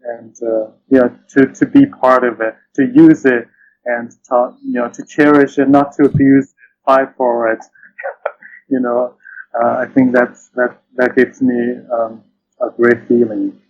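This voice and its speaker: Halting, thoughtful man, dull lo-fi recording. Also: mid-range voice, flat delivery, slight background noise. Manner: halting, thoughtful, often says "you know". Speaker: interview guest, literary translator. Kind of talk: reflective interview conversation.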